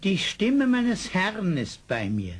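An actor playing a devout, fearless eccentric psalm singer, speaking in a solemn preacherly manner in a radio play. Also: male voice, high voice, huge pitch swings, slow pace, husky, exaggerated voice. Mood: solemn, exalted. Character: devout, fearless psalm-singing frontier eccentric, serenely pious. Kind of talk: 1970s German radio play acting.

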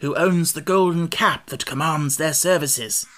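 A woman voicing a cheerful, pompous male scarecrow king in a storybook, with a bright and grandly reassuring manner. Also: deep voice, unhurried. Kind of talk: audiobook character voice.

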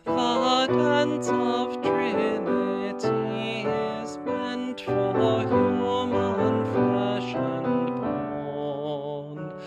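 A woman singing a church hymn slowly and gently. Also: very high-pitched. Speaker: hymn singer. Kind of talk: slow sung hymn. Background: loud piano accompaniment.

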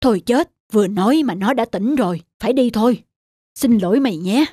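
A man's voice: high voice, a little fast, warm tone, natural voice. A man voicing a fretful, self-serving village man in a folktale cartoon, exclaiming and muttering to himself. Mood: regretful. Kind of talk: Vietnamese folktale cartoon dubbing.

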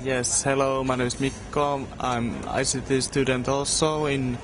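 A young exchange student from Finland, answering in a relaxed, halting way into a handheld mic. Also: male voice, mid-range voice, background noise. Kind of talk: casual interview answers. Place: lounge at a game jam. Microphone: handheld mic held by interviewer.

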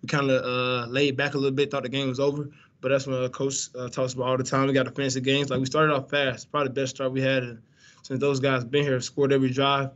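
Young guy answering press questions after a game, laid back, lots of uh and um, flat kind of delivery, dull webcam sound. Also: medium pitch, fast. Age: young adult. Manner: laid-back, many fillers and restarts. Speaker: college quarterback. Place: indoor press room, branded backdrop. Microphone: video call, webcam.

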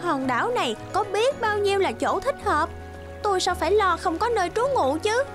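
Lazy smug swan for a kids cartoon, really high and breezy, music behind. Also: female voice, expressive, moderate pace. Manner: breezy, self-satisfied, exclaiming.

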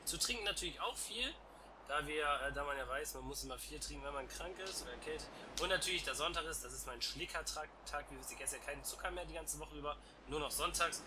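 A man's voice: fairly high pitch, fast. Young man with a cold, chatty. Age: young adult. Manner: chatty and relaxed. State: congested with a cold. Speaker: let's play host. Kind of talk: casual game commentary. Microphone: headset. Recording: noisy home headset recording.

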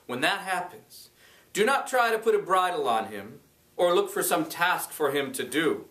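A middle-aged man reading aloud from an inspirational book, deliberate and dramatic, with emphatic pauses. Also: a little high, big pitch swings, a bit bright. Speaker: reader, blog creator. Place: small bright room indoors. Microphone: camera a few metres away. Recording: roomy echo, distant microphone.

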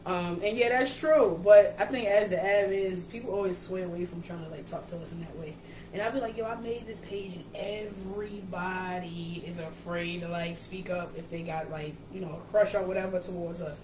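Casual co-host: New York woman, thirties, animated and slangy, recorded in an echoey kitchen with background noise. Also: lowish voice. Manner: animated, slangy, quick asides. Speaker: page admin and co-host. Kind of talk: casual chat on a livestream. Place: indoor kitchen. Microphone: camera or laptop mic.

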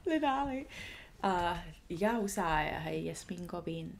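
Woman co-host on a video call, casual and playful, mixing English and Cantonese. Her pitch swings widely as she talks. She sounds lively and teasing. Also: moderate pace, vocal fry, slight background noise. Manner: animated, playful, jumps between languages. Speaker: podcast co-host. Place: home in Florida.

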